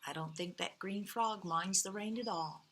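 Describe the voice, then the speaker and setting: A woman reading a picture book aloud to young children, outdoors, with dramatic pauses, emphasized key words and gentle asides to her listeners. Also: medium pitch, a little hiss or hum. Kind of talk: expressive children's read-aloud.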